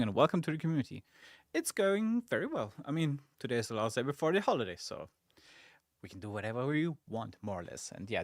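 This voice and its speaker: A young man from Germany, speaking German as his first language, hosts a casual livestream and answers viewer comments in a chatty, loose way full of fillers. His voice sits at a middle pitch and sounds close and dry, with no room sound. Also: no echo. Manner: chatty and relaxed, with many fillers and asides. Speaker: social media community manager and stream host. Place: game studio office.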